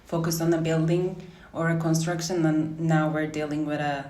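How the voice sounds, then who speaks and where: A young woman with a Turkish accent speaks plainly, with a few hesitant fillers, in a casual student podcast. Her voice is low and flat, and it carries a roomy echo over faint background noise. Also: normal speed. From Turkey. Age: young adult. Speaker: architecture student guest. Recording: echoey room with faint noise.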